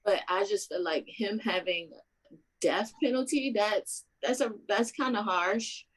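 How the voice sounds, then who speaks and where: A woman's voice: mid-range voice, a little slow, low-quality recording. A woman co-hosting a podcast, giving frank, blunt opinions in casual chat. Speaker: podcast co-host.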